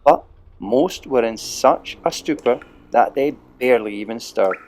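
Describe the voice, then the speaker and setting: A man narrating a children's story aloud in an expressive, unhurried way with dramatic pauses. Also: mid-range voice, creaky.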